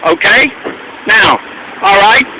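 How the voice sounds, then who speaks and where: A man's voice: extremely high pitch, huge pitch swings, takes it slowly, vocal fry. An American man arguing persistently in casual street talk, repeating his questions and phrases. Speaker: street interviewer and activist. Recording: noisy outdoor recording with traffic.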